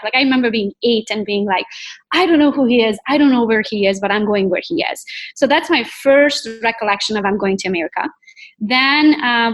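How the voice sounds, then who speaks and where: A woman's voice: medium pitch, rough recording. A woman in her thirties with a Romanian accent, telling her personal story in a chatty, candid way as an interview guest. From Transylvania, Romania. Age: 30s. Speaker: podcast guest, realtor.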